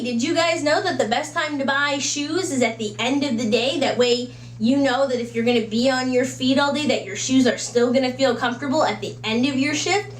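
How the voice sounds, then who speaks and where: High-voiced woman chatting in an echoey room. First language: English. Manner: conversational, acts out little imagined quotes and asides. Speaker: book reviewer giving recommendations. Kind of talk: casual, chatty video book recommendations. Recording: roomy echo with faint background hum.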